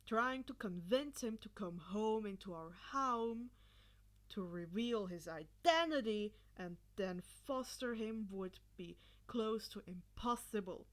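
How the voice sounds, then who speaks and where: A woman voicing a nonchalant, reserved husband in a story, with a deadpan, low-key delivery. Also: slow pace. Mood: calm, guarded.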